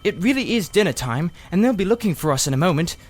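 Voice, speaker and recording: A man's voice: high-pitched, very animated, fast talker, pitched above the performer's own voice. A man voicing a blunt, impatient and anxious schoolboy in a children's storybook. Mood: insistent. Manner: blunt, urgent. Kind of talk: children's storybook character reading.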